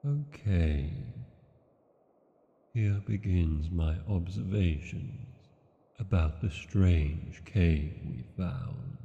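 A man playing an arrogant, brooding dragon king in a fantasy ASMR audio roleplay, speaking deliberately with long pauses and a haughty manner. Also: deep voice, warm tone, heavily acted. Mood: musing, disdainful. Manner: slow, deliberate, pausing, haughty. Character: arrogant, brooding male dragon king reading his journal. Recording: muffled, long cavernous reverb.

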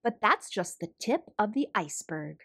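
Lady reading a kids picture book, very up and down expressive, slightly husky breathy voice. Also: medium pitch, moderate pace. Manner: animated, clearly paced storytelling for young children. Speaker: children's read-aloud host.

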